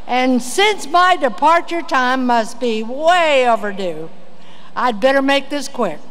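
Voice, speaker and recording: A woman's voice: high-pitched, lively intonation, takes it slowly, fairly bright tone. A woman in her seventies from Texas giving a prepared, dryly humorous commencement speech. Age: 70s. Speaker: commencement guest speaker. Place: large auditorium stage at a commencement. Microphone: podium microphone. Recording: reverberant hall with background noise.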